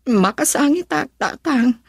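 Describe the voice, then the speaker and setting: A long-suffering young mother in an Ilocano radio drama, Filipino accent. Her voice sits fairly high and is recorded dry and close. She speaks with melodramatic, dutiful sorrow. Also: lively intonation, measured pace, gravelly, slightly rough, no room sound, heavily acted. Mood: pleading, sorrowful.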